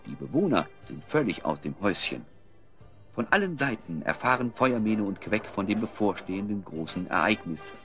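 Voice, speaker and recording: A man's voice: fairly low pitch, a bit bright, dull audio. A man narrating a radio play as a storyteller, with scripted delivery.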